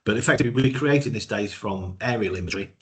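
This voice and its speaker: A man with a cold and a croaky voice, giving a webinar presentation with many hesitations and repeated words. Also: fairly low pitch, very fast, fairly bright tone.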